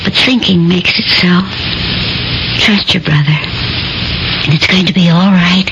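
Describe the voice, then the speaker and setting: An American actress playing an affectionate, supportive young sweetheart in a radio drama, tender and expressive. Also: female voice, fairly low pitch, a little slow, background noise. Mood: gentle reassuring. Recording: muffled radio recording with music behind.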